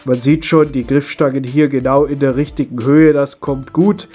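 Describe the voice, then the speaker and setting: Stuffy middle-aged guy with a cold, breathy voice, walking you through a hobby build step by step. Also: mid-range voice, fairly even intonation, moderate pace, mellow, faint music behind. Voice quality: husky. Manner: chatty, explains step by step while working with his hands. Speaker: model railway hobby video host. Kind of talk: casual hands-on tutorial.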